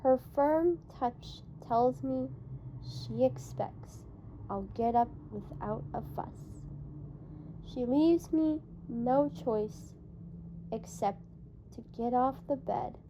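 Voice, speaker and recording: Slow, halting woman reading aloud. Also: a little high, mellow, slight background noise, rough recording. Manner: halting delivery, rereads stumbled phrases. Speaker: amateur book reader. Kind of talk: read-aloud of a verse novel.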